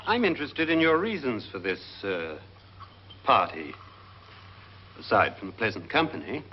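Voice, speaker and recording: A man's voice: on the low side, very animated, moderate pace. An actor playing a skeptical doctor in a 1950s horror film, wry, educated and dismissive in his delivery. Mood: dry, amused. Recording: dull, noisy old film soundtrack.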